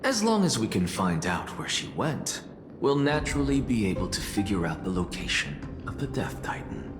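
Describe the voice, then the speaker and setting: An actor playing a self-assured, analytical scholar in a video game, musing in a deliberate, theatrical way. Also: male voice, lowish voice, very animated, music in the background. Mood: intrigued, confident. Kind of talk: dramatic video game voice acting. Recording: very echoey hall with clear noise.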